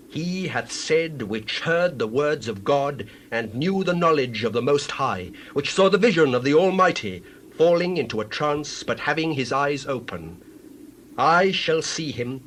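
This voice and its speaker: A solemn prophet, played by a man, declaims in a slightly high male voice over faint ambient noise. He delivers oracles with measured pauses, in the grand manner of a Bible drama. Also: fairly bright tone. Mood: solemn, entranced.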